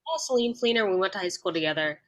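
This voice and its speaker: A woman's voice: mid-range voice, expressive, quick pace, a bit bright. A young woman, an actor, chatting loosely on a video-call panel. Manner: chatty, rambling. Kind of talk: casual chat.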